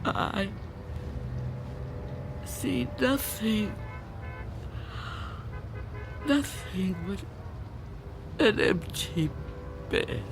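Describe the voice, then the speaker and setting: An actress playing a frightened, fragile old woman in a radio drama, tremulous, pleading and halting. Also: medium pitch, expressive, gravelly, over music, exaggerated voice. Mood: fearful. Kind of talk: dramatic radio play acting.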